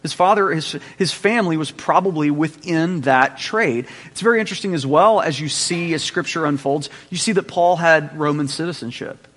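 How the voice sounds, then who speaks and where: A man's voice: a little high, normal speed, few pauses, a bit bright. Man teaching Bible in a reverberant hall. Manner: conversational, checks in with the listeners.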